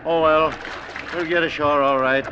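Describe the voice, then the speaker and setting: An actor playing an injured, encouraging ship's captain in mid-century radio drama style. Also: male voice, a little high, steady tone, moderate pace, close to an everyday voice. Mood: steady, encouraging. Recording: muffled, noisy old radio recording.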